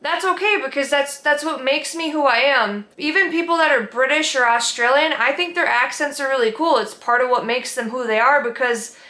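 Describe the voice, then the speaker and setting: Minnesotan woman, bright, echoey room. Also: high-pitched, moderate pace, hardly any pauses. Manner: earnest, candid, reflective. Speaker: vlogger. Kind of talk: sit-down personal talk.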